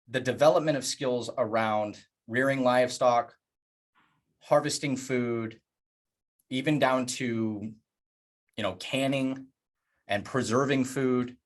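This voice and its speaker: A man presenting plans in a public address, speaking earnestly in deliberate phrases with emphatic pauses. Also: mid-range voice. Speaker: organization leader presenting plans.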